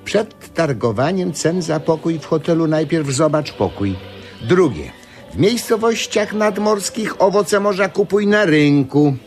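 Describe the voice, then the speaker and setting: A cheerful man telling tales and tips in a radio drama. His voice is fairly high and very lively in pitch, delivered slowly and emphatically. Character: cheerful male storyteller dispensing practical travel advice. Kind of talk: radio play acting.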